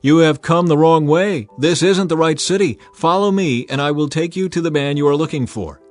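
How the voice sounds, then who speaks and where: A man's voice: a little high, moderate pace, no echo, soft background music, pitched above the performer's own voice, natural voice. A man reading as a firm, solemn prophet. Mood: commanding. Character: calm, commanding male prophet.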